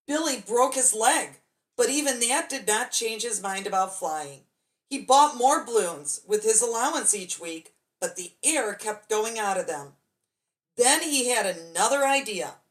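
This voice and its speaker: A woman's voice: fairly high pitch, lively intonation, a little slow, low-quality recording. A woman storyteller reading a children's bedtime story expressively, drawing out key words for dramatic emphasis.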